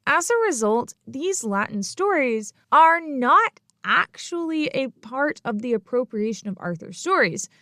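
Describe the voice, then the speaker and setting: Woman host, history podcast, lively swooping pitch, playful and emphatic, dry close mic. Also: dry recording. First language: English. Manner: playful, emphatic, with little laughs. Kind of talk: scripted history lecture podcast.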